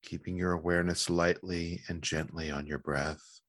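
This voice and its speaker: A man leading a guided meditation in a calm, gentle, measured manner with long pauses. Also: low voice, dry recording, clean studio sound.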